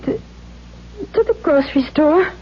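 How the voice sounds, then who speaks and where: An actress playing a frightened, submissive young daughter in a 1970s radio drama, timid, hesitant and stammering. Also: female voice, high-pitched, animated, measured pace, slight background noise. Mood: hesitant, lying. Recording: dull 1970s radio recording.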